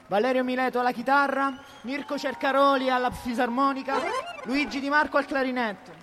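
A man's voice: very high voice, fairly even intonation, a little fast. A man introducing musicians in a projected stage-announcement style. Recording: long hall reverb over music.

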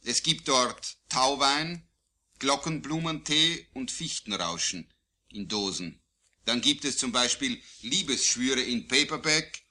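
A man reciting his own poetry solemnly, in a declamatory, deliberate way with phrases falling at the line endings. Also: medium pitch, pushed and bright. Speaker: poet. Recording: digitized vintage vinyl record.

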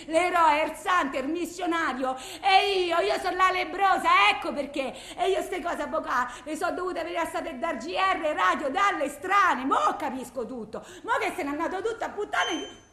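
A woman's voice: extremely high pitch, lively intonation, moderate pace, sharp tone, heavily acted. An actress playing a distraught, volatile blind wife with a Roman accent, rambling and self-justifying in agitated messages to her lawyer in a radio monologue. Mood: angry, indignant. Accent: Roman Italian. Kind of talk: theatrical radio monologue, framed as phone messages. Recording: roomy, echoing sound.

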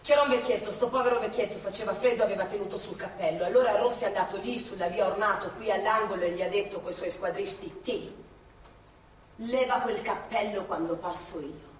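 A woman's voice: mid-range voice, fairly quick, close to an everyday voice. Actress as elderly woman, partisan host, chatty and repetitive, live theatre, distant, echoey with faint noise. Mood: grave. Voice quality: conversational, repeats herself for effect. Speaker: actress in a stage play. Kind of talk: theatrical monologue, recorded live. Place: theatre stage. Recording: echoey theatre stage, mic far off.

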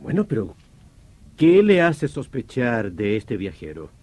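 An actor playing a sceptical, world-weary French police inspector in his thirties in an old radio drama, wry and measured. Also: mid-range voice, huge pitch swings, a little mellow. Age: 30s.

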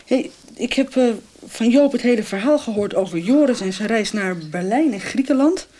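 A woman's voice: a little high, animated, normal speed, dark tone. An actress playing a relieved, chatty woman visiting friends in a radio soap, a little hesitant. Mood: confiding.

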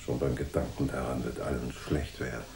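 Deep, mellow, shrewd police detective. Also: male voice, level tone, brisk, roomy sound. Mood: calm, firm. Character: shrewd, unruffled male police detective, quietly authoritative. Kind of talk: noir radio drama acting.

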